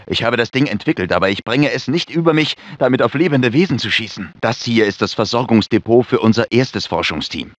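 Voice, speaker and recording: A man's voice: medium pitch, no echo. A male actor playing an anxious, fussy research scientist in a dubbed video game, speaking formally and precisely with nervous urgency. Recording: dull, lo-fi game audio clips.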